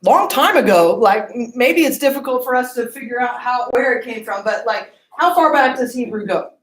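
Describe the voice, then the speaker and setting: A woman's voice: a little high, expressive, normal speed, fairly bright tone, reverberant, poor audio. A woman giving a classroom lecture, often asking the class questions and checking in with "okay?" and "right?".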